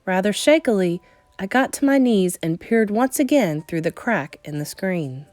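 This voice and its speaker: A woman narrates a children's novel in a mid-pitched, highly animated voice. She leans into key words and leaves deliberate pauses for suspense. Soft music plays behind her. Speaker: storybook reader. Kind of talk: dramatic read-aloud narration of a children's novel.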